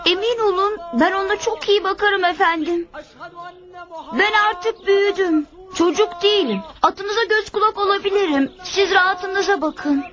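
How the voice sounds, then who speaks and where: A woman's voice: extremely high pitch, moderate pace. A woman voicing an eager, respectful young boy in a dubbed religious cartoon, polite but proudly insistent. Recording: dull lo-fi cartoon audio.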